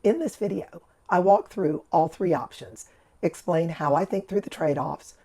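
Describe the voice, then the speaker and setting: A hoarse woman with a rough, scratchy voice, recovering from laryngitis, methodically walking through an investing trade as the channel's host. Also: lowish voice, normal speed. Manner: methodical, steady explaining of trade steps and figures. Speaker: financial educator and channel host. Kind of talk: screen-recorded investing walkthrough.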